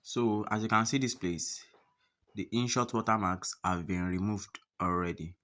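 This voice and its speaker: Low-pitched, bright-voiced man giving a tutorial. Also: steady tone, normal speed. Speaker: tutorial host. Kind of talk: step-by-step app tutorial narration.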